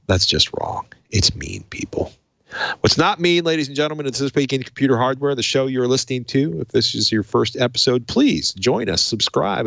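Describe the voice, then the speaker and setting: A man's voice: on the low side, creaky, a bit bright, no echo. A man hosting a casual tech show, chatty and conversational, with wry asides. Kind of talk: casual tech podcast chat.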